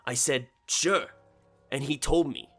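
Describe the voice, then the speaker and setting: A man voicing an anxious, excitable male engineer in a story, speaking in an agitated, emphatic way. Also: medium pitch, takes it slowly, breathy edge, faint music behind, pitched above the performer's own voice, full character voice. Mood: excited. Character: anxious, excitable younger male engineer breaking urgent news. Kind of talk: short story character dialogue.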